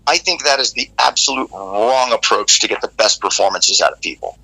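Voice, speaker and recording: Gravelly male rock vocalist guest, bright cutting tone, candid and animated storyteller. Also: fairly low pitch, fairly quick, low-quality recording. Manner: candid, animated, casually profane. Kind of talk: casual interview storytelling.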